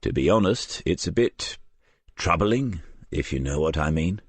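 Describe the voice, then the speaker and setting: Deep-voiced wry detective read by a man. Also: lively intonation, a little slow, husky, dry recording, full character voice. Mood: wry, troubled. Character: seasoned, wry, reflective male police detective.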